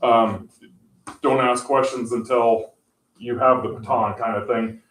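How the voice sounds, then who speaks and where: A man explaining tech options to a city council, halting and full of fillers and restarts. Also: medium pitch, fairly even intonation. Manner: says he has a loud voice. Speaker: tech presenter at council meeting. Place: council meeting room. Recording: echoey room, far from mic.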